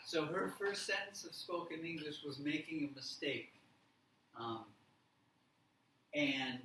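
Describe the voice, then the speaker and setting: A man teaching a live workshop, giving deliberate, simple instructions with repetition and check questions. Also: on the high side, sharp tone. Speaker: language teacher leading a workshop. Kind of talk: live classroom instruction with simple step-by-step directions. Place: indoor classroom or presentation room. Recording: echoey room, distant mic, faint noise.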